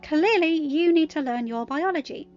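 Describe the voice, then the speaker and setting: A woman's voice: high-pitched, lively intonation, normal speed, darkish tone, dull audio, faint music behind, pitched above the performer's own voice. A woman voicing a proud, stressed sixteen-year-old girl in an audiobook, snappy and defensive. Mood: scornful. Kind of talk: dramatized audiobook narration.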